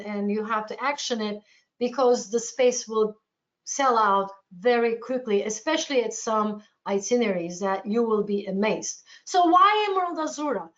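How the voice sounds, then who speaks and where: Turkish lady doing a sales webinar, kinda high voice, keen, muffled lo-fi audio. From Turkey. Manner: enthusiastic. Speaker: travel sales presenter. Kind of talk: informal webinar presentation.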